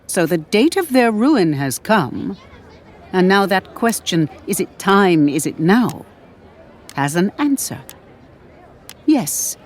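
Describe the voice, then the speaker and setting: Woman voicing a slow, weary old female historian. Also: medium pitch, big pitch swings, some chatter behind. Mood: solemn, exhortatory. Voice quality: a little cracked and weary. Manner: measured, oratorical storytelling. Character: aged woman historian, cheerful, mischievous, quietly authoritative.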